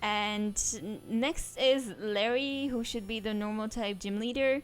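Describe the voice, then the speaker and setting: A woman's voice: medium pitch, a little slow, clean tone, soft background music, low-quality recording. Chatty woman streamer. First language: Czech. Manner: rambling and chatty, trails off into asides. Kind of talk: casual let's-play commentary.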